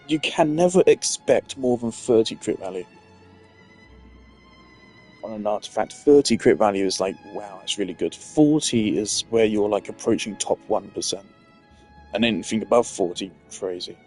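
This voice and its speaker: A man's voice: on the low side, darkish tone. A man giving casual gameplay commentary, rambling as he thinks aloud. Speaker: gamer.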